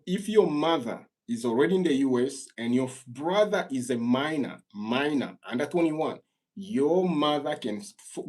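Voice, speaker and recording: A man from Congo hosting a live stream Q&A, giving earnest, repetitive immigration advice to callers and rising in pitch when worked up. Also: darkish tone.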